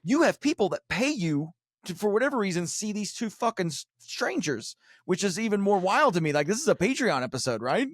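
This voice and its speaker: A man with a slightly high voice talks casually on a podcast. He rambles, restarts his thoughts and swears freely. The recording is dry and close, with no room sound. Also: very animated, a little fast, dry recording. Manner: sweary, rambling, self-interrupting. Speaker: podcast guest and co-host of a true crime show.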